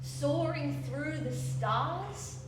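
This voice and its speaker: High-voiced adventurous dreamer, distant and echoey. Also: female voice, animated, drawn-out words, heavily acted. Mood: dreamy. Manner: theatrical, earnest. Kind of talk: stage radio play acting. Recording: roomy, distant stage recording with loud music.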